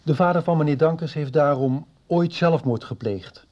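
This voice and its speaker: Male actor as subdued, reasonable dad, soft dark tone, hesitant explaining, slightly muffled radio drama sound. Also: a little high, moderate pace, lightly acted. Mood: earnest, subdued. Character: reasonable, subdued father explaining a painful matter. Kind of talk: radio soap acting. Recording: muffled 1990s radio recording.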